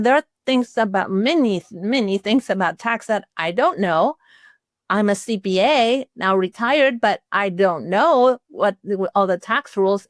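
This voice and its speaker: A woman's voice: medium pitch, dry recording, studio quality. An older woman from Chile, a retired accountant, giving a conversational instructional webinar and walking through sample phrases.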